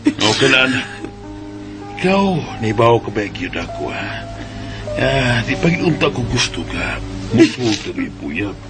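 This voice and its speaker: A man playing a gentle, consoling father in a Bisaya radio drama, with a Filipino Bisaya accent. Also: mid-range voice, big pitch swings, unhurried, pauses often, music in the background.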